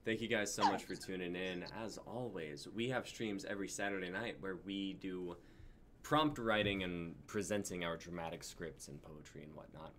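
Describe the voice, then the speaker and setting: A man's voice: deep voice, normal speed, noisy background. A man in his twenties hosting a casual livestream over a video call with friends. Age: 20s. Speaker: stream host. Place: indoor room on a video call.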